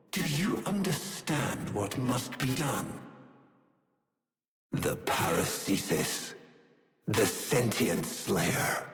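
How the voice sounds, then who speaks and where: An actor playing a bitter, scheming aristocratic villain in a video game, speaking in poetic, grandiose phrases with dramatic pauses and a breathy tone that often drops toward a whisper. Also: male voice, lowish voice, exaggerated voice. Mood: urgent, commanding. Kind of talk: theatrical scripted video game dialogue. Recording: echoey, long hall-like reverb.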